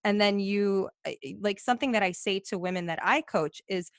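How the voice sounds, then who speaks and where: A woman's voice: medium pitch, normal speed, clear, resonant tone, no room sound, clean studio sound. A woman hosting a podcast, chatty and enthusiastic, swearing casually as she responds to her guest. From United States. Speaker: podcast host.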